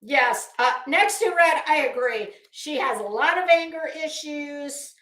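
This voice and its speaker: A woman with a high voice hosts a casual livestream, speaking at an unhurried pace with halting, emphatic repeats. She is blunt, mocking and freely profane as she talks to her viewers. The sound is noticeably roomy and echoey. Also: expressive, fairly bright tone. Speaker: livestream host.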